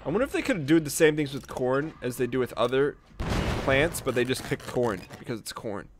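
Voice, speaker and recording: A man's voice: medium pitch, lively intonation, a little fast, soft background music. A man making casual conversation with friends during a game. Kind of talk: casual gaming chat.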